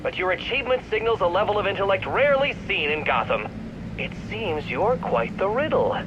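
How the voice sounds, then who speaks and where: An actor playing a smug, intellectual game villain, taunting over a radio in a condescending, theatrical, precise way. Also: male voice, a little high. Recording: dull radio sound with loud noise.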